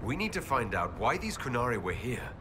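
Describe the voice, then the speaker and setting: A man playing a wry lively leader. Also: medium pitch, brisk, noisy background, close to an everyday voice. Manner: dry, wry. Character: dry-witted male leader, the Inquisitor. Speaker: player character. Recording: game cutscene with loud music.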